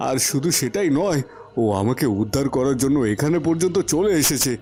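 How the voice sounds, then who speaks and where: A man's voice: mid-range voice, lively intonation, fairly quick, a little mellow, lightly acted. A man voicing a devout, long-suffering cartoon king and father, emotional and emphatic in his melodrama. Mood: overjoyed relief. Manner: emotional, emphatic, repeating phrases.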